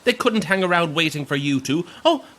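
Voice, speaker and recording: An actor with an Irish accent playing a brash, sarcastic coach tour guide in an audio drama, theatrical and teasing. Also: male voice, a little high, expressive, fairly quick, fairly bright tone. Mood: exasperated.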